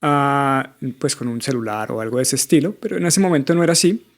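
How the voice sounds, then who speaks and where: A man's voice: medium pitch, lively intonation, brisk. Colombian guy w bogota accent, 30s, chatting as a podcast guest, lots of "digamos" fillers. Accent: Colombian Spanish, Bogotá. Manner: thoughtful, many fillers like "digamos". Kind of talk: conversational interview.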